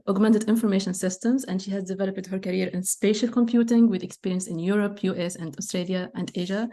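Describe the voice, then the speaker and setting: Woman hosting an online session, casual, mid pitch, lots of fry, mellow tone. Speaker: session host. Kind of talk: informal introduction of online session. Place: online video call.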